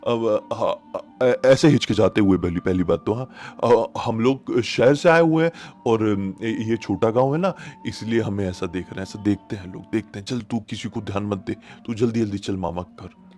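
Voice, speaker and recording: A man voicing an evasive mother in a told story, speaking to her son in a hushed, hesitant, cautioning way. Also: fairly low pitch, moderate pace, faint music behind, natural voice. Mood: nervous, evasive.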